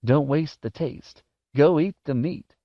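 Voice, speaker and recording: A man's voice: medium pitch, sing-song, normal speed, pitched above the performer's own voice. A man voicing a wiry, sinister male tempter in a storybook, hissing and chanting as he goads insistently. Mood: gleeful goading. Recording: roomy, dull lo-fi audio.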